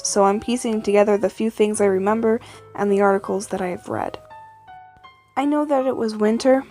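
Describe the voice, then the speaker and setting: A woman's voice: medium pitch, a little mellow. A woman narrating a first-person scary story in an informal, conversational way.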